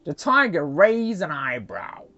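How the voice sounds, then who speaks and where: A woman's voice: lowish voice, big pitch swings, unhurried, a bit bright, highs cut off, soft background music. A woman storyteller narrating a children's folktale expressively.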